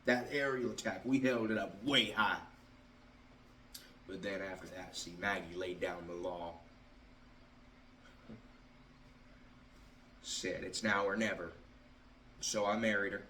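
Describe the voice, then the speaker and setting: A man performing a stage monologue as a bitter, drunken Southern ex-athlete confronting his father, halting and repeating his words. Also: sits low, sharp tone. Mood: wistful, bitter. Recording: roomy, distant mic, faint noise.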